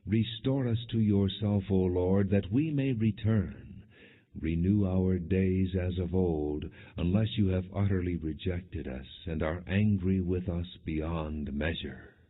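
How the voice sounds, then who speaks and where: Through narrow, phone-like audio comes a man with a low, husky voice. He reads scripture as a solemn audiobook narrator. His phrasing is measured and deliberate, each verse set apart. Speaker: audiobook Bible reader.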